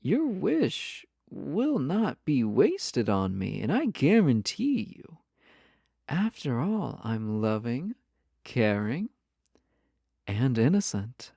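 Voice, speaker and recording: A flirty yandere lover acted out for an audio roleplay speaks slowly and intimately, right to the listener. The voice is mellow with a creaky edge, coy and possessive, slipping into breathy teasing. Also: mid-range voice, wildly swooping pitch, no room sound, studio quality, exaggerated voice. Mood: playfully sly.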